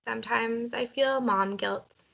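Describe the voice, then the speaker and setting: A woman leading a guided tapping session, speaking gently and encouragingly and setting out phrases for a partner to repeat. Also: on the high side, steady tone, a little slow, vocal fry, lo-fi sound. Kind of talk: guided emotional freedom technique session.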